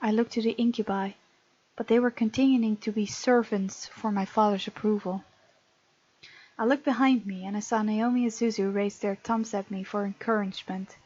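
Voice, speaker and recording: A woman's voice: mid-range voice, a little mellow, no room sound, muffled recording. A woman hosting a gaming video, expressively reading game text aloud with casual, playful commentary.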